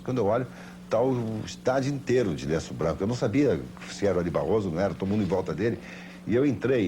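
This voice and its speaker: A man's voice: on the low side, warm tone. An older Brazilian man telling anecdotes in an interview as a veteran sports broadcaster, in an emphatic storytelling manner. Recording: noisy old TV broadcast tape.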